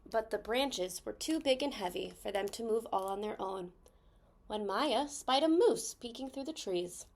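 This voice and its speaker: A woman reading a children's story aloud expressively, stressing key words with deliberate phrasing. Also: a little high, lively intonation, a little mellow.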